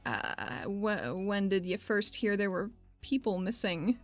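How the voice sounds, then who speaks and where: A woman's voice: mid-range voice, animated, slow, faint music behind. A woman playing a tabletop game, speaking in casual role-play and table chat with a polite, tentative manner.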